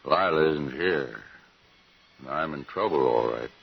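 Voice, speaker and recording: An actor playing a troubled, guarded frontier husband in a 1950s radio western, speaking in a halting, tense way. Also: male voice, very deep voice, husky, muffled recording, full character voice.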